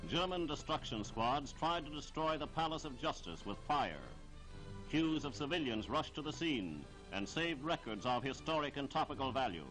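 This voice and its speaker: A man narrates a wartime 1940s newsreel with music playing clearly behind him. His voice sits at a medium pitch, and he reads his script in a formal, declamatory and measured way. The sound is that of an archival film soundtrack. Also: lively intonation, fairly bright tone, low-quality recording.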